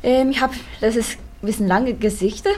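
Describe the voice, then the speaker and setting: A woman's voice: a little high, normal speed, a little mellow. A young woman from Japan with a Japanese accent, an exchange student answering interview questions with hesitant fillers. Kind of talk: interview answers in learner German.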